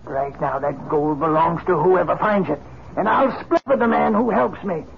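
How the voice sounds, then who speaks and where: An actor playing a folksy, dogged old ex-outlaw in a 1950s radio western, with a creaky old-timer's voice. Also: male voice, high-pitched, moderate pace. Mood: storytelling. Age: older. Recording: dull, noisy 1950s radio recording.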